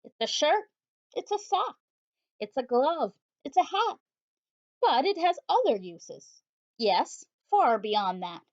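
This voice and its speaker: A woman's voice: big pitch swings, takes it slowly, exaggerated voice. A woman playing an eager, pushy salesman. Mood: salesy. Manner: pitching a product, listing eagerly. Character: eager young male shop maker, pushy salesman. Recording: slightly dull lo-fi audio.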